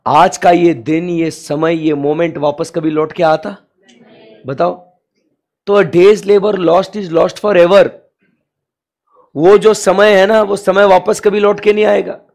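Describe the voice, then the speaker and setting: A young man teaches with a sore, hoarse throat in a high voice. He talks slowly and leans hard on drawn-out English phrases. He keeps checking in on the class with rhetorical questions. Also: little pitch movement, a little mellow. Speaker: commerce lecturer. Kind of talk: classroom lecture, mixing Hindi and English.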